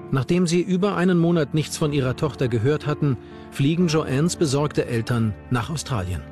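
A man's voice: medium pitch, darkish tone. A man reading scripted narration for a true-crime documentary. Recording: narration over background music.

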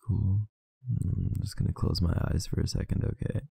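A man with an extremely deep, gravelly voice murmurs slowly and tenderly into a close mic. His tone is dark and husky, and it sounds tired and affectionate. The sound is dry, with no room around it. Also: little pitch movement, no echo. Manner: soft, intimate, affectionate murmuring. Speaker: ASMR roleplay performer. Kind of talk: ASMR boyfriend roleplay.

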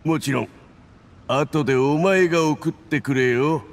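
An actor playing an arrogant diplomat in a Japanese video game, speaking in a haughty, halting, gasping way. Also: male voice, fairly high pitch, vocal fry. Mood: jovial, tipsy. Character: arrogant male diplomat schemer, poisoned and weakening. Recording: music behind the voice.

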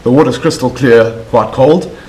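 A middle-aged South African man talking casually to the camera as a fishing vlogger, relaxed and chatty with plenty of fillers. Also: medium pitch, normal speed, rough recording. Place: outdoor covered balcony, then an indoor living room.

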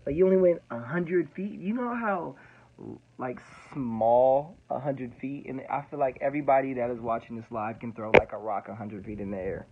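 A man's voice: medium pitch, normal speed, breathy edge, phone-quality sound. A young man in his twenties, a student pilot, explaining recorded radio calls in a casual, slangy way, repeating himself often. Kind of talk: casual explainer over playback.

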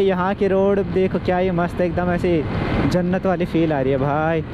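Young high-voiced man, noisy outdoors. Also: dull audio. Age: young adult. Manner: chatty, thinking aloud, mixing in English words. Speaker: motovlogger. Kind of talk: casual vlog chat. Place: outdoors on roads, riding a scooter and stopping. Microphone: action camera on helmet or handheld. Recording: noisy outdoor action-camera audio with vehicle sound.

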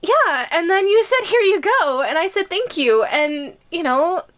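A woman acting out a yandere giantess schoolgirl in a roleplay audio drama. Her voice is high and girlish, and she speaks slowly with nervous, possessive hesitation and giggly stammers. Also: lively intonation. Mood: giddy, lovestruck.

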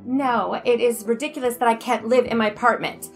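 A woman's voice: on the high side, fairly even intonation, a little fast, faint music behind, lightly acted. A woman in middle age playing a pushy, oblivious, self-absorbed best friend in an improvised soap, rambling on insistently. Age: middle-aged. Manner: rambling, breezily insistent, valley-style filler talk. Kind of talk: improvised soap-opera comedy over a video call.

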